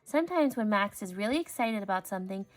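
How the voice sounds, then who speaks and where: A woman voicing a kind, patient female teacher in a storybook, explaining things gently. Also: mid-range voice, lively intonation, fast, natural voice.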